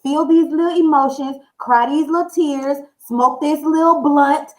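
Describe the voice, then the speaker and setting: A woman's voice: sits high, steady tone, unhurried, rough recording. A woman telling a story and voicing God as an impatient, sassy scolder with bossy, salty slang. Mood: impatient scolding.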